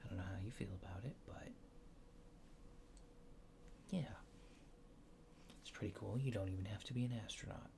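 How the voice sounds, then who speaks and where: A man playing a laid-back, faintly apologetic alien fixer in a soft, hesitant, often near-whispered ASMR roleplay. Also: lowish voice, normal speed, creaky, long pauses, background noise. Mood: calm, reassuring. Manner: hesitant, understated, dryly matter-of-fact.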